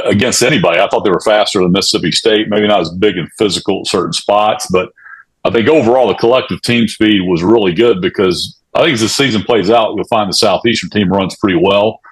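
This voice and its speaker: A man on a sports podcast panel giving casual, analytical commentary in a measured way. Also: sits low, breathy edge, rough recording.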